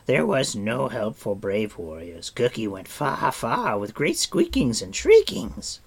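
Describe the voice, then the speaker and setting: A man's voice: medium pitch, wildly swooping pitch, slow, vocal fry, slightly rough, full character voice. A man voicing a whimpering, servile wild creature in an audiobook, fawning and pitying himself in the third person. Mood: wailing, miserable. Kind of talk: audiobook character voice.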